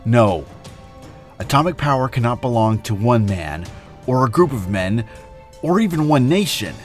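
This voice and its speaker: An actor playing a noble, confident atomic superhero in an earnest, declamatory old-time radio drama style. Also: male voice, mid-range voice, big pitch swings, normal speed, faint music behind, close to an everyday voice. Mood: solemn resolve.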